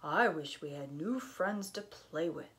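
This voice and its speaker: A woman's voice: sits low, lively intonation, measured pace, a bit bright, voice pushed down, exaggerated voice. A woman voicing a sociable, well-meaning bear in a children's storybook, earnest in manner.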